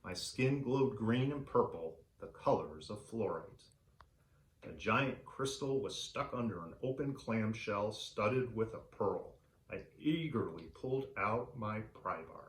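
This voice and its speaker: A man reading a story aloud for children in an animated, theatrical way. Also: on the low side, a little slow, echoey room, poor audio. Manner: animated, theatrical delivery for young listeners. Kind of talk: expressive read-aloud storytelling.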